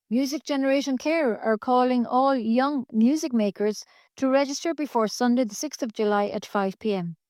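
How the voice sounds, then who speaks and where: A woman's voice: a little high, a little mellow, dry recording, studio quality. A woman with an Irish accent reading a scripted local news bulletin as a community radio newsreader.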